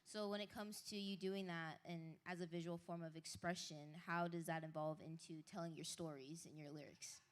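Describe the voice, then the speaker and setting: A woman hosting a live concert stage, interviewing a guest and giving shout-outs in a chatty, upbeat way full of fillers and apologies. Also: fairly low pitch, steady tone, normal speed, few pauses. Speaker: host. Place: live stage at an indoor market venue. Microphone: handheld stage mic.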